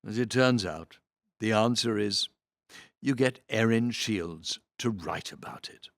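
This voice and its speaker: A man reading programme notes aloud in an expressive, theatrical way, with deliberate phrasing and dramatic emphasis. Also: fairly low pitch, dry recording.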